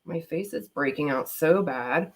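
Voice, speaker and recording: Baltimore lady in her 30s doing makeup live, chatty and rambly, kinda low voice. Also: a little slow, husky. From Maryland, USA. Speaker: beauty vlogger. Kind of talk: casual livestream chat. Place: home, at her desk with laptop. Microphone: laptop built-in mic.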